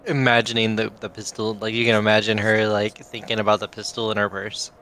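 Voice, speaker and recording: Bright-voiced, lowish man role-playing. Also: little pitch movement, brisk, a little hiss or hum, low-quality recording. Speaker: player in a tabletop role-playing game. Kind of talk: casual improvised role-play.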